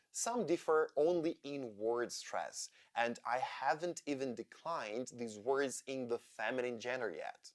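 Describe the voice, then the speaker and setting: Slow man teaching English. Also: mid-range voice. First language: Ukrainian. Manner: slow, deliberate explaining. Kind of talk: scripted lesson narration.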